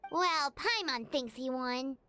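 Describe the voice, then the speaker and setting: A woman voicing a small, perky, childlike fairy companion in a video game, curious and quick to exclaim. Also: high-pitched, lively intonation, sharp tone, faint music behind, heavily acted. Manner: perky, exclaiming, refers to self by own name.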